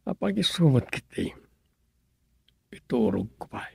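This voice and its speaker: An actor playing a pensive story writer who mutters and muses to himself in a radio comedy drama. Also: male voice, medium pitch, very animated, measured pace, creaky, breathy edge, no echo, full character voice. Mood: musing.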